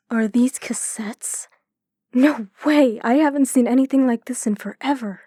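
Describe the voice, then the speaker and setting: A woman playing a curious, excitable young woman in an audio drama. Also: on the high side, slow pace, dry recording. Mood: excited, amazed.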